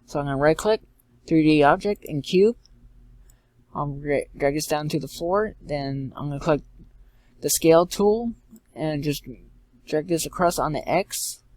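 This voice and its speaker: A man giving an informal step-by-step software tutorial in a halting way, repeating and correcting himself as he goes. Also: on the high side, dry recording. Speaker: tutorial presenter. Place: indoors, screen-recording voice-over.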